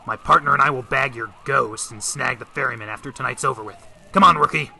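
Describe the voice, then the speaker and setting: An actor playing a brusque, hard-boiled vintage police detective in an old-time radio drama, gruff and clipped with grandiloquent turns. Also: male voice, medium pitch, quick pace, pushed and bright. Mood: indignant.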